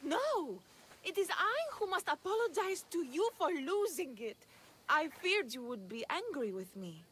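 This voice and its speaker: An actress playing a devout, timid young shepherdess in a video game, halting and breathlessly reverent. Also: female voice, sits high, sing-song, a bit bright, exaggerated voice. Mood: adoring, timid. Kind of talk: video game cutscene acting.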